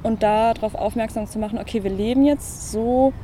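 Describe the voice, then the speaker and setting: An earnest woman makes her case in an interview, her voice mellow and warm. She is outdoors in a town. Faint traffic and street sounds drift behind her. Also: fairly high pitch, steady tone, normal speed. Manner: earnest, argumentative. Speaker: interviewee, church member. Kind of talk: interview answer.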